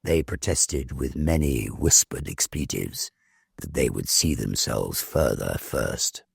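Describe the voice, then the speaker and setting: A man reading a ghost story as dramatic narration, theatrical, measured and full of suspense. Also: a bass voice, animated, gravelly, husky, dry recording, clean studio sound.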